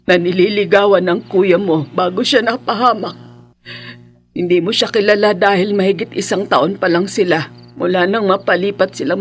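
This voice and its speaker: A woman's voice: mid-range voice, close to an everyday voice. A woman playing a middle-aged, superstitious village mother in a radio horror drama, speaking emphatically and insistently. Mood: grieving. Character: grieving, stern, superstitious village mother of grown son.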